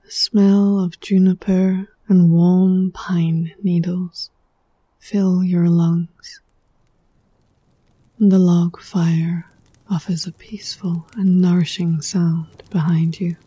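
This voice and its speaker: Very soft, breathy woman guiding meditation. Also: lowish voice, level tone, no room sound. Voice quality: soft, breathy, often near whisper. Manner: hushed, soothing, unhurried. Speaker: guided meditation leader. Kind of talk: guided meditation, slow poetic scripted narration. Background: music.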